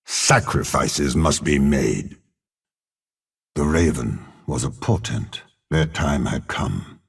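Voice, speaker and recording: A super deep gravelly old warlord villain for a video game, cold n scheming, talks slow and menacing. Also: male voice, animated, full character voice. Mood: cold, calculating. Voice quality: gravelly, husky. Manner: measured, haughty, menacing. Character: cold, scheming older male warlord and spymaster, imperious villain. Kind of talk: video game character voice lines.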